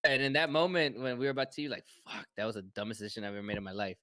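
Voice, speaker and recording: A man's voice: mid-range voice, fairly even intonation, rapid-fire, sharp tone, dry recording, studio quality. A man co-hosting a fan podcast, chatting casually, rambling and swearing as he jokes. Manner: rambling, profane, joking. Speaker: podcast co-host. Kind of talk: casual chat.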